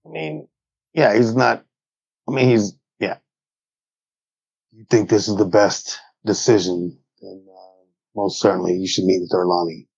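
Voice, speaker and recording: A man playing a tabletop roleplaying game in character, in short, terse phrases with a gravelly, husky voice. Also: fairly low pitch, unhurried, long pauses, a little mellow, dry recording. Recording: dry, dull lo-fi audio, faint music.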